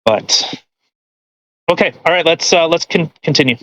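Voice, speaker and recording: Man, slightly high voice, podcast co-host, casual commentary, halting and rambling, lots of "you know". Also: sharp tone, no echo.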